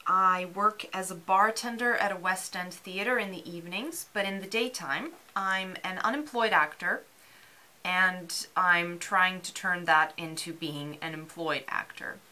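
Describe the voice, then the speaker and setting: A woman from Serbia with a bright, forceful tone and a fairly low pitch for a woman. She explains her ideas thoughtfully in an interview. She searches for words and often fills pauses with ums. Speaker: interviewee, actor and bartender.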